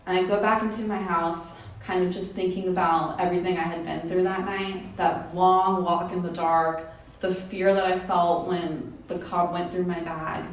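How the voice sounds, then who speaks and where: Brief: woman storyteller, low-pitched, very echoey hall, distant mic. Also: level tone, normal speed. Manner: casual, conversational, laughing at herself. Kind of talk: live storytelling on stage. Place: live event hall. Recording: very echoey room, mic from far off, faint noise.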